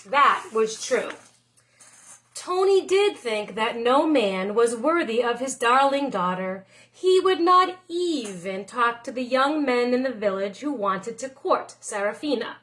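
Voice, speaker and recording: A woman's voice: fairly high pitch, animated, measured pace, a bit bright, poor audio. A woman narrating a children's picture book expressively, like a teacher reading aloud.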